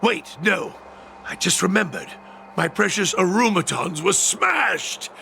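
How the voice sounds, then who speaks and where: High, wildly animated fussy artisan. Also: male voice, takes it slowly, vocal fry, faint music behind, heavily acted. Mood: dismayed. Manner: theatrical, self-important. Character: fussy, defensive male master artisan. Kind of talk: video game dialogue acting.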